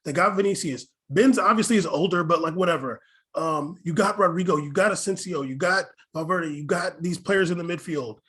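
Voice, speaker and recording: A man with a notably high voice co-hosts a casual sports podcast. He argues his case with stacked questions and stammered restarts, dropping street slang as he goes. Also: brisk, bright, forceful tone. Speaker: podcast co-host.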